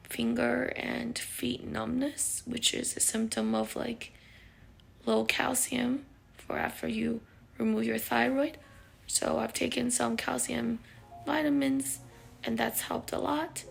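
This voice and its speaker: A woman vlogger giving a casual recovery check-in, with a raspy, sometimes unclear voice and a halting, filler-heavy way of talking. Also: a little high. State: recovering voice after neck surgery.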